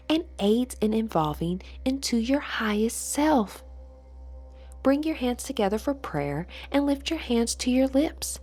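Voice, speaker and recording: A woman leading a guided meditation and affirmations in a soft, breathy, soothing voice with measured, deliberate phrasing. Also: sits high. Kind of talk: slow guided meditation narration with spoken affirmations. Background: background music.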